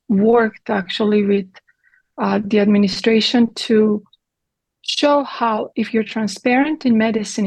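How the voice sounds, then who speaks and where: A middle-aged woman speaks in a somewhat high, husky voice with a gravelly edge. She answers as a guest in a direct, explanatory way. The sound is dry and close. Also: darkish tone, no echo, low-quality recording. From Croatia. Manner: direct, explanatory, with occasional grammar slips. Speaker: guest physician. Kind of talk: earnest interview answers.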